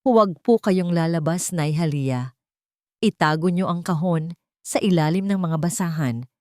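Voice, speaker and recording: A woman's voice: fairly low pitch, unhurried, a little mellow, dry recording, clean studio sound, lightly acted. A woman voicing a brave, poor male scavenger, firm and protective, in an audio drama. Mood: urgent. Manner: firm, determined.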